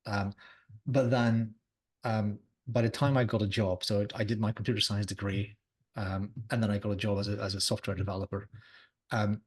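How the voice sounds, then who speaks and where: A man in his forties, a technology advisor chatting casually in an interview, relaxed with lots of fillers. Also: lowish voice, moderate pace, husky. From Belgium.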